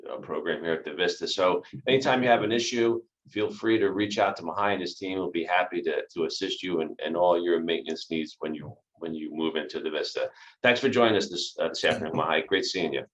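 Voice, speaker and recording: Deep-voiced middle-aged man hosting a video call. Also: brisk, a bit bright. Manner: repeats words, upbeat thanks. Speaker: host introducing staff. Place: small office. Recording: dull video call audio.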